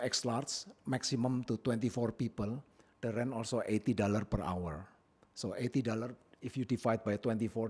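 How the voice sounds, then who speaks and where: A man originally from Indonesia presenting his business plan at a public hearing, speaking plainly with frequent pauses and fillers into a podium microphone in a council chamber. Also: mid-range voice, darkish tone, slightly rough.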